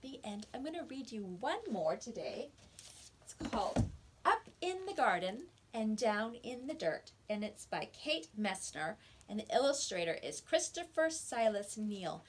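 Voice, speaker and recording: Woman, higher voice, very animated intonation, children's read-aloud, teacherly, expressive emphasis. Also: unhurried, slight background noise. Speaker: teacher reading picture books aloud.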